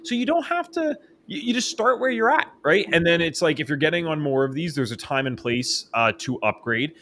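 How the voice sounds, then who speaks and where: A man hosting a podcast interview from a home office, talking in an excitable, fast way. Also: fairly high pitch, a little hiss or hum. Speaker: podcast host. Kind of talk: casual interview chat. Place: home office, empty spare bedroom. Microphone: studio microphone.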